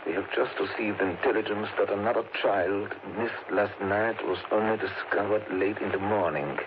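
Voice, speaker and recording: An actor playing a grave, erudite older foreign vampire hunter in deliberate, slightly broken English, in the manner of 1930s radio drama. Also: male voice, on the low side, lightly acted. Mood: grave, probing. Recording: scratchy, muffled old radio broadcast recording.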